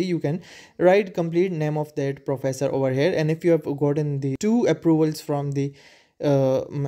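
A man's voice: medium pitch, warm tone. A man whose first language is Urdu, walking through a screen-recorded tutorial with frequent hesitations and filler sounds. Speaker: tutorial presenter.

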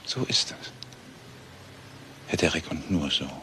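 An actor playing a cultured, cynical swindler who philosophises politely and pompously in a crime drama. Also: male voice, rumbling low, a little slow, noisy background. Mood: philosophising, cynical. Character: cultured, cynical, smug male master swindler and seducer. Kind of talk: German TV crime drama acting.

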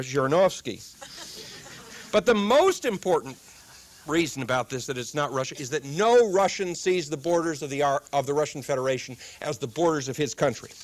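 A man's voice: fairly high pitch, slight background noise. A middle-aged man with a dry, wry humor, giving a public lecture at a desk microphone in a lecture room. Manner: dry wry humor, quotes with comic timing. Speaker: guest speaker.

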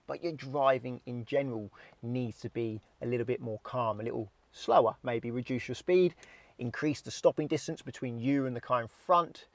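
A British man in his thirties gives an instructional talk in plain, informal language. His voice sits at a medium pitch. It is picked up on a lapel mic inside a car, with a very dry, close sound. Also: no echo. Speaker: driving instructor. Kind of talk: instructional explanation to camera.

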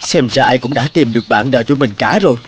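A man voicing a vain, picky male butterfly in a cartoon, boastful and pompous with theatrical flattery. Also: mid-range voice, quick pace, slight background noise, natural voice. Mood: smug musing. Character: vain, picky male butterfly bachelor seeking a flower bride. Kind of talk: cartoon character acting.

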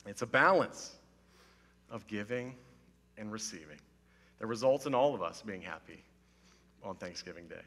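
A slightly hoarse man in his thirties preaches in a loose, joking way, putting on mock-serious drama. His tone is bright and forceful. A long reverb from the hall trails his words. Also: on the low side, lively intonation, moderate pace, long pauses. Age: 30s. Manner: playful, self-mocking, mock-serious. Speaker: pastor. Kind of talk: casual humorous sermon. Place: church stage with wood-panelled wall. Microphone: headset mic.

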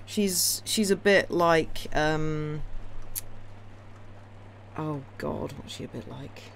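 A woman's voice: sits low, very slow. A woman chatting casually and ramblingly to a live audience as a stream host, wry, opinionated and enthusiastic. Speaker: livestream host who reads classic novels aloud. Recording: clear steady background noise.

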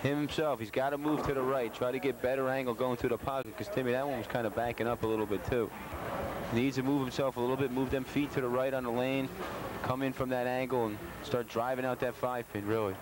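A man's voice: mid-range voice, flat delivery, quick pace. A man with an American accent giving informal, instructive sports analysis and tips as co-commentator in a bowling alley. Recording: noisy bowling alley, 1990s cable TV audio. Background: clear bowling alley noise.

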